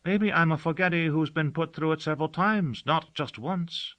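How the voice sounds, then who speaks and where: Man voicing a cheerful heavyset servant, loud and excitable, pitched up high, bright. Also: moderate pace, natural voice.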